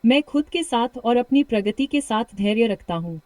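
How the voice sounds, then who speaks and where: A woman's voice: on the high side, animated, no echo. Upbeat British woman coaching practice. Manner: warm encouragement, slow repeated practice sentences. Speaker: English speaking coach. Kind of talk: guided shadowing practice lesson.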